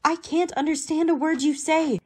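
A woman's voice: high voice, fairly even intonation, normal speed, lightly acted. A woman playing a stern, formal dean of women in an old-time style radio comedy. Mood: exasperated, stern. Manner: prim, formal.

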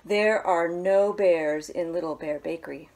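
A woman in her thirties reads a picture book aloud in a small living room, recorded on a camera in front of her. Her voice sits a little low and she takes her time, gentle, with hushed asides. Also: measured pace. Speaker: children's librarian.

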